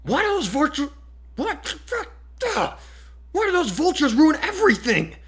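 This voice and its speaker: A man's voice: very high voice, very animated, measured pace, fairly bright tone, pitched above the performer's own voice, full character voice. Man reading as an exasperated teenage hero student. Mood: exasperated, urgent. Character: teenage boy hero student, confident, protective, quick to outrage.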